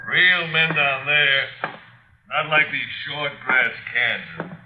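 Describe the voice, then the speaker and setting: An actor playing a drunken, jeering Texas cowboy troublemaker in a radio Western. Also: male voice, mid-range voice, slow pace, natural voice. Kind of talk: 1950s radio Western acting. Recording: dull old radio recording.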